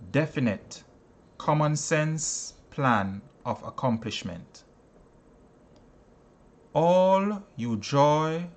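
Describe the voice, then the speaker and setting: A man reading a book aloud as scripted narration, in deliberate phrases broken by pauses. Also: mid-range voice. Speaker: audiobook reader.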